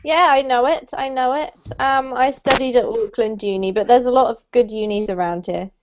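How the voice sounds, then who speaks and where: A woman from the UK teaching an English class online, cheerful and quick to laugh as she greets students by name over a video call. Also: on the high side, fairly even intonation, noisy background. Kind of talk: casual online class chat. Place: home room in New Zealand. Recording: dull lo-fi video call audio.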